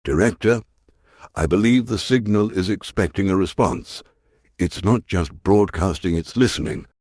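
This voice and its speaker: A man playing an earnest, resolute science professor in a sci-fi audio drama, with a husky voice and deliberate delivery. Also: low voice, animated, darkish tone, dry recording, clean studio sound. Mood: earnest, convinced.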